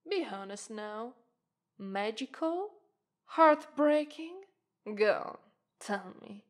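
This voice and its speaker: A woman voicing a playful, flirtatious witch in an ASMR roleplay, speaking slowly and teasingly, with an Italian native tongue. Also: a little high. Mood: coy.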